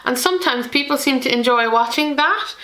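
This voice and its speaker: Irish woman, board game host, self-deprecating chat, bright tone, roomy echo. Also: high-pitched, brisk. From Ireland. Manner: chatty, self-deprecating, lots of ums. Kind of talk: chatty solo video to camera.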